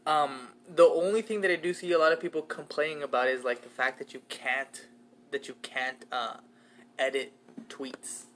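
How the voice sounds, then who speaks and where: Chill guy in his 20s hosting a podcast, rambly and sweary, bit higher voice, faint hiss behind. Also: fairly even intonation, normal speed, fairly bright tone. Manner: laid-back, swears freely, rambling with fillers. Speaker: podcast co-host. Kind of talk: casual chat.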